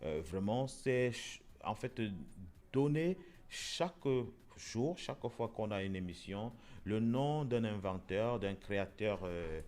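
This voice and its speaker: A man's voice: lowish voice, lively intonation, slow, darkish tone. A man hosting a casual talk show, speaking hesitantly with many repeated words and fillers. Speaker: show host. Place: Europe.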